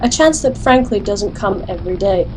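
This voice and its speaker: A woman's voice: mid-range voice, animated, moderate pace, music in the background, natural voice, low-quality recording. A woman playing a defiant, sardonic alchemist, wry and assertive, in an audio drama with narration. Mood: wry, resolute. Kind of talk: first-person narration and audio drama acting.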